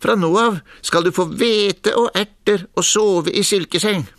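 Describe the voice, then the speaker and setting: A man's voice: sits high, a bit bright, no echo, studio quality, voice pushed up. A man voicing an obliging, fair-minded court clerk who pronounces formally in a folk tale. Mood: official, sympathetic.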